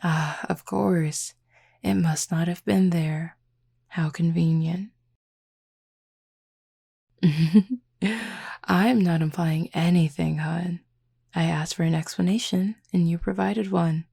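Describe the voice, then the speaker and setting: A woman playing a flirty, teasing, confident werewolf pack member in an intimate audio roleplay, speaking unhurriedly with a husky, gravelly voice. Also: lowish voice, warm tone, heavily acted.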